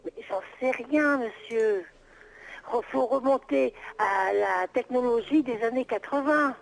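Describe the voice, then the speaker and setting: A woman's voice: on the high side, unhurried, gravelly. A middle-aged woman on an unscripted phone call, answering as a private seller, exasperated and insistent, repeating herself. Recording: noisy telephone call.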